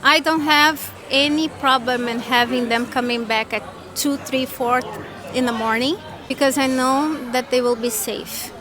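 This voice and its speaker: A woman's voice: high-pitched, fairly even intonation, a little slow, a crowd in the background. A middle-aged woman from Brazil, chatting casually as an interviewee outdoors.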